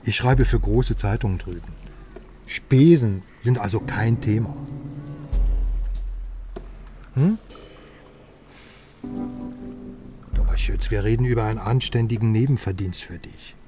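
A man's voice: fairly low pitch, moderate pace, distant mic, over music, close to an everyday voice. A man playing a pushy, self-assured journalist in a radio crime drama, talking at length in a persuasive, self-important way. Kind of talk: naturalistic radio drama dialogue.

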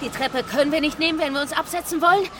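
Actress as high-voiced, defiant woman fighter. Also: fast, background noise, natural voice. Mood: sarcastic, tense. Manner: sarcastic, insistent, tense. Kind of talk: German radio drama acting.

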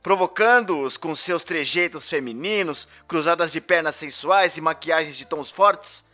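A man's voice: on the high side, measured pace, pushed and bright, soft background music. A man playing a pompous, sarcastic, corrupt police captain who interrogates theatrically in a comedic audio drama. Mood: mocking.

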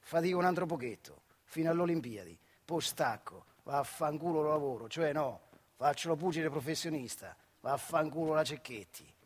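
A man's voice: a little high, expressive, normal speed, breathy edge. A man playing a brash, cocky young factory-worker boxer with a central Italian accent in a stage monologue, speaking bluntly and colloquially. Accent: central Italian (Marche). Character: brash young working-class boxer with Olympic dreams.

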